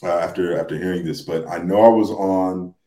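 A man chatting casually with a roomy echo, measured and hesitant, often repeating himself. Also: deep voice, steady tone, warm tone. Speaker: podcast guest. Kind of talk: casual chat. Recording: roomy, echoing sound.

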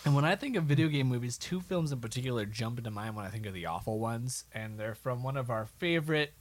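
A man's voice: mid-range voice, lively intonation, fast talker, crowd noise. A man co-hosting a podcast, talking casually about films with a wry, film-buff manner. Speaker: podcast co-host.

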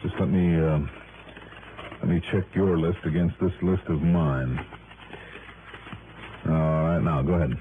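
An actor playing a sharp, wry insurance investigator in a vintage radio detective drama, clipped and businesslike. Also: male voice, sits low, steady tone, measured pace, pauses often. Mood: probing, businesslike. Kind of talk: 1950s-60s radio detective drama acting. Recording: noisy, tinny vintage radio recording.

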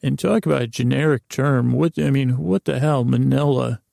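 Very creaky, gravelly man rambling softly. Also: medium pitch, normal speed, no echo, studio quality. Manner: meandering, digressive, whimsical. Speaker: host of a bedtime story podcast. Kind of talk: rambling, improvised sleep-aid monologue.

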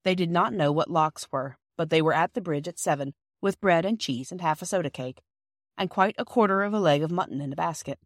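A woman's voice: fairly low pitch, brisk, dry recording, studio quality. A woman narrating a children's storybook from a script. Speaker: audiobook narrator.

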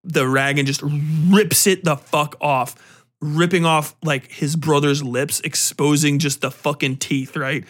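A man hosting a podcast, giving casual, rambling commentary in an animated, slangy, profanity-laced way. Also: fairly high pitch, takes it slowly. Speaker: podcast host.

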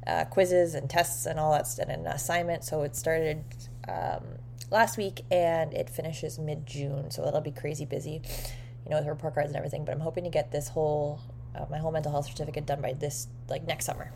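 A woman's voice: sits low, little pitch movement, brisk, gravelly, warm tone, a little hiss or hum. A Canadian woman hosting a podcast, chatting casually and upbeat with plenty of fillers. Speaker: podcast host. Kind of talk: casual interview chat.

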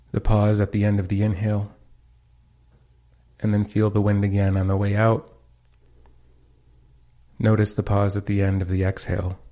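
A man leading a guided meditation, giving calm, gentle instructions with pauses. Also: fairly low pitch.

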